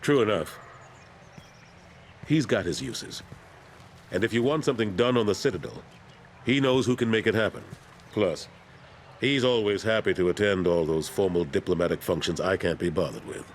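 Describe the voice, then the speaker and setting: A man's voice: fairly low pitch, moderate pace, pauses often, a little hiss or hum, close to an everyday voice. An actor playing a firm, plain-spoken older military man turned politician in a video game. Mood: calm, frank. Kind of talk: video game dialogue acting.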